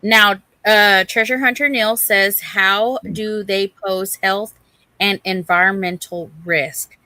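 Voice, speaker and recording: A woman's voice: mid-range voice, steady tone, takes it slowly, bright, forceful tone, dry recording. A woman co-hosting a casual livestream, chatting informally with viewers as she reads their comments. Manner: chatty, addresses and greets viewers by name. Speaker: livestream co-host reading and answering viewer chat.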